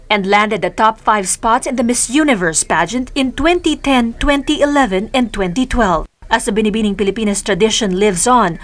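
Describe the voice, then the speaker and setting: A woman narrating a scripted news feature in a smooth, formal delivery. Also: fairly high pitch.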